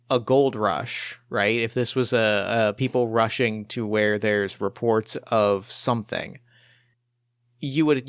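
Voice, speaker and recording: A man improvising narration as game master of a tabletop roleplaying game, speaking haltingly and repeating phrases as he builds his descriptions. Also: mid-range voice, gravelly, a bit bright, no echo, studio quality.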